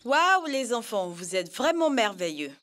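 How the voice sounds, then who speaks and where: A woman playing an affectionate, shrewd grandmother who gently scolds, in an educational cartoon dub. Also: a little high, big pitch swings, measured pace. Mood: curious, admiring. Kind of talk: dubbed educational cartoon acting.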